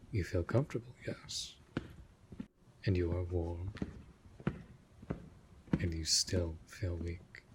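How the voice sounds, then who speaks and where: Vampire master for roleplay audio drama, male actor: very low, slow delivery, formal, tender and protective. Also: noisy background. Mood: protective, amused. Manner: formal, measured, gentle, with breathy murmurs. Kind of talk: scripted roleplay audio drama addressed to the listener.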